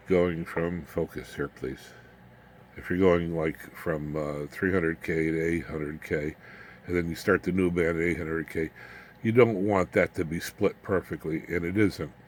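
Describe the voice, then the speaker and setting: A man giving an informal, unscripted hands-on demonstration as a hobbyist, haltingly, muttering asides to himself as he works. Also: low-pitched. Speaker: hobbyist radio builder demonstrating his equipment. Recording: clear background noise, room ambience.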